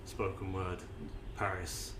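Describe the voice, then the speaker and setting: A man's voice: low voice, flat delivery, takes it slowly. A man hosting a podcast interview, chatty and swearing, often breaking off and restarting his sentences. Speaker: podcast host. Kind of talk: casual interview chat. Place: room with several poets nearby. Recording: echoey room, faint ambient noise.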